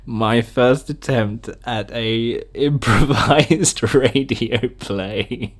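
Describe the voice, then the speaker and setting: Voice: mid-range voice, drawn-out words. A podcast host chatting casually in a rambling, giggly, self-mocking way. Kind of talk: casual, improvised podcast chat.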